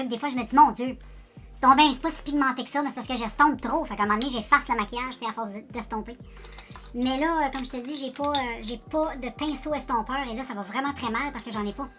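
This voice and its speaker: A woman with a Quebec French accent talks through her makeup routine in a loose, rambling way, poking fun at herself as she goes. Her voice sits high and sounds bright and forward. Soft music plays faintly behind her. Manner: chatty, rambling, self-deprecating, talks directly to viewers. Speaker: beauty vlogger. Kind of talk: casual makeup tutorial chat.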